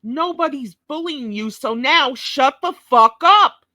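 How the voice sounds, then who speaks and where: Woman commentator, scolding and profane, slow deliberate delivery, dry close sound. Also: high-pitched, lively intonation, bright, forceful tone, dry recording. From New York. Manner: blunt, scolding, heavy swearing. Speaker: commentator reacting to a clip.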